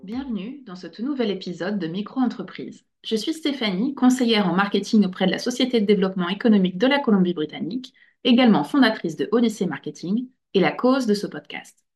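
A woman's voice: medium pitch, lively intonation, fast, a little mellow, soft background music. A woman hosting a business podcast, welcoming her guest and asking brief, direct questions. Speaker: podcast host, marketing advisor.

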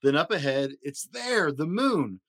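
A man hosting a story podcast, reading a rhyming children's picture book aloud in an expressive, rhythmic way. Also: on the high side, a little slow. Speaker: podcast host and story reader.

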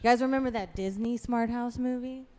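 A woman's voice: fairly high pitch, steady tone, fairly quick, dark tone. A woman hosting a podcast in casual chat, informal and slangy. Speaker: podcast host.

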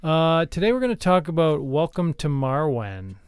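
Clear-voiced deadpan man co-hosting a podcast. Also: fairly high pitch, no echo, studio quality. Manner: earnest, deadpan, halting. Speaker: podcast co-host. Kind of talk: deadpan comedic screenwriting talk.

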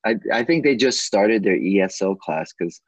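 A man from the United States chatting casually and telling personal stories in a husky, animated, joking way. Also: fairly low pitch, normal speed, no echo. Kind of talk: casual chat, personal storytelling.

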